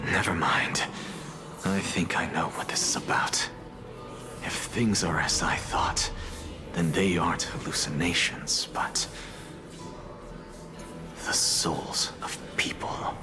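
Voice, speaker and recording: A man voicing a soft-spoken, inquisitive scholar in a video game, with a breathy, measured, wry and musing delivery. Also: low voice, steady tone, over music. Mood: musing, puzzled. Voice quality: breathy, whispery. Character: soft-spoken, inquisitive male scholar, amused and unafraid of death. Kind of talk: English video game voice acting.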